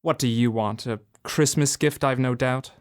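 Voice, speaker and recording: An actor playing a bitter, miserly old moneylender in a student radio drama, snarling and barking scornful orders. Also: male voice, medium pitch, moderate pace, lightly acted. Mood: contemptuous.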